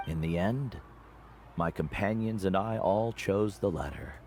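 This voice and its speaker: Low-voiced, measured veteran guide. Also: male voice. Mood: measured, earnest. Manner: measured, earnest, explanatory. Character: measured older male guide and mentor, wise, principled. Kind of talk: scripted video game voice acting. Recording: loud game music behind voice.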